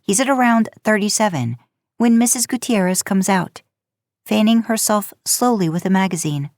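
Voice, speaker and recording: A woman narrating a story aloud in a casual, expressive way. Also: medium pitch, normal speed, no room sound, clean studio sound. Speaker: podcast host narrating fan fiction.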